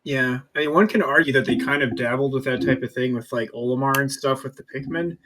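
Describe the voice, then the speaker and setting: A man's voice: mid-range voice, brisk, pushed and bright. A man co-hosting a gaming podcast, giving his opinions in casual conversation.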